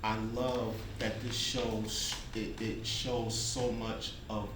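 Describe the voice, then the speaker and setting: A man's voice: fairly low pitch, flat delivery, slow pace. A man talking casually and reflectively in a group discussion. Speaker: table read participant. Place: echoey room, group table read. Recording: very echoey room, distant mic, noisy.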